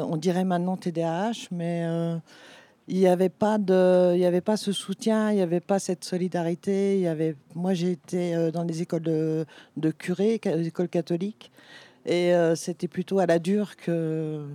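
A woman in her fifties, originally from France, joins a radio discussion. Her voice is mellow and dark, and she speaks haltingly, with many fillers. The sound is dry and close. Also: fairly low pitch, fairly even intonation, no echo. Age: 50s. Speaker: guest.

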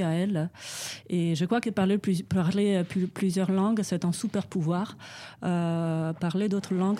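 A woman's voice: on the low side, mellow. A woman, a poet and panel guest, speaking French in a reflective, hesitant way. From Italy. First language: Italian. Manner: reflective, thoughtful, with frequent hesitations. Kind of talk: panel discussion.

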